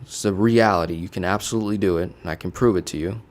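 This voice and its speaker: Man, low voice, finance educator, casual, playful, chatty, studio mic. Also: fast. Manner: chatty, playful, peppers with "right?". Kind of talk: casual teaching walkthrough. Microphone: studio desk mic.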